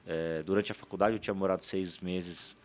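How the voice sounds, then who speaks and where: A man in his forties from São Paulo with a Paulistano accent, a startup founder telling his story as a guest in a casual interview, chatty and quick, often tagging "né". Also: sits low, fairly even intonation, slight background noise. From São Paulo, Brazil. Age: 40s. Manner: quick, chatty, leans on "né" tags.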